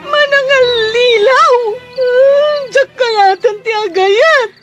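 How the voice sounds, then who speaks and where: An actress with a Filipino accent playing a heartbroken young housemaid in a radio drama, plaintive and weepy. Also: female voice, very high voice, takes it slowly, music in the background. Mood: anguished. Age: young adult. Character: heartbroken young housemaid in love, tearful and wounded.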